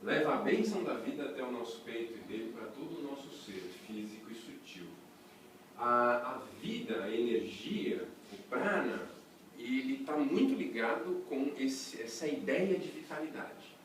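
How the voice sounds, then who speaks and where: A man with a Brazilian Portuguese accent teaching a breathing workshop in a chatty, lively way, throwing rhetorical questions to a small group. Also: medium pitch, moderate pace, slight background noise. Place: workshop room with a small audience. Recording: echoey room, voice from a distance.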